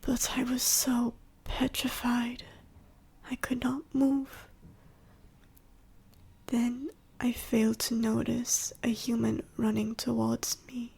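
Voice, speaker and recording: Casting: woman to play an ancient dying she-dragon for ASMR roleplay; whispery, husky, slow and halting, gentle and sorrowful. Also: a little high, heavily acted. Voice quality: breathy, whispery, raspy. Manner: halting, slow, solemn storytelling. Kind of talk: ASMR audio roleplay monologue to the listener.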